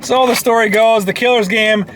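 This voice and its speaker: Male co-host, high animated voice, blunt and profane, casual movie banter, noise behind. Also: normal speed. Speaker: co-host of brothers' movie review vlog. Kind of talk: casual chat, movie talk. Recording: noisy in-car recording.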